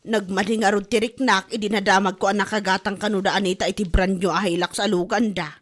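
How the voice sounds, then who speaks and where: A woman's voice: mid-range voice, little pitch movement, quick pace, lightly acted. A woman acting as an envious, sickly village wife in an Ilocano comedy drama, whining and melodramatically aggrieved.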